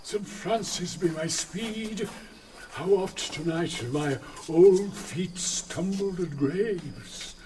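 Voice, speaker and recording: A man's voice: high-pitched, drawn-out words, a little mellow. An older man playing a fearful, pious old friar in classical Shakespearean radio drama, with anxious, declamatory delivery. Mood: anxious foreboding.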